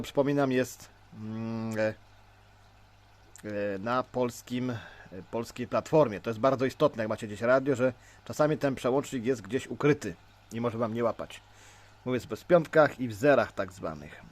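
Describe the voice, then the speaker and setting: A Polish man giving an informal, unscripted hands-on gadget demonstration as a hobbyist, explaining in a halting way. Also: mid-range voice. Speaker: CB radio hobbyist presenter.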